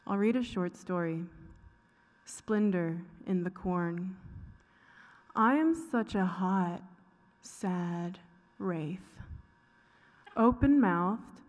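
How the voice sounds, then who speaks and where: A woman giving a dramatic reading of a short story, with deliberate, pausing phrasing. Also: on the low side, highs cut off. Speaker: fiction writer reading own work. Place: reverberant hall at a live public reading. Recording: echoey hall with long reverb.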